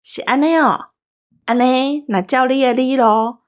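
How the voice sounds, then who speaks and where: High-voiced teasing Taiwanese woman. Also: unhurried. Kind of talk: casual chat.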